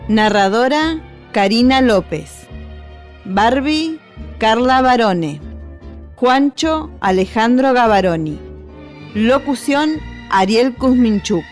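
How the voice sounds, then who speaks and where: A woman's voice: on the high side, animated, takes it slowly, long pauses. An Argentine woman presenting on radio with scripted, informational narration. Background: faint music bed.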